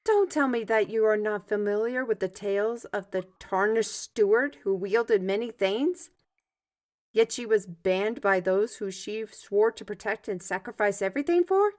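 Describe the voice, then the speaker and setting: A woman playing a lively artist mage and storytelling mentor in a fantasy audio roleplay, with formal old-fashioned phrasing and muttered asides. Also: medium pitch, moderate pace, close to an everyday voice. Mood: explaining.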